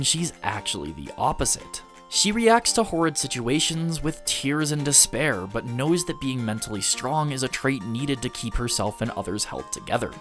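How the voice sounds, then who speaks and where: A man with a mid-pitched voice delivers scripted video-essay narration over background music. His intonation is lively, rising and falling widely. He phrases things earnestly and deliberately, leaning on key words for emphasis. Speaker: video essayist reviewing an anime.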